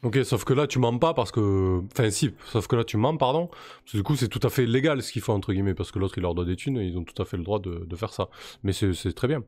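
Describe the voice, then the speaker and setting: A man's voice: on the low side, fast talker, a little mellow, no echo. A man running a tabletop role-playing game, narrating casually with many hesitations. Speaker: game master.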